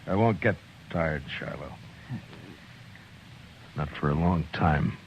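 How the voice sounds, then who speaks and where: A man acting a grim, stubborn frontier lawman in a 1950s western radio drama, speaking in terse, deliberate, understated lines. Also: rumbling low, very noisy, exaggerated voice. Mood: grave, urgent. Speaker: lead actor and narrator. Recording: dull old radio recording with music.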